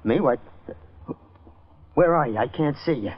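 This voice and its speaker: A guilty hired man stammers nervously in a fairly high, husky voice. He is evasive and frightened, in a muffled old radio drama recording. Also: animated, a little slow. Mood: frightened, defensive. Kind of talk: 1930s-40s radio drama acting.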